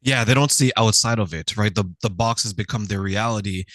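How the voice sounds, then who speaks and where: Man, interview host, humorous, animated, dry close recording. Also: lowish voice, fairly quick, no room sound. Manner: humorous, animated storytelling with playful voices. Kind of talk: casual interview conversation.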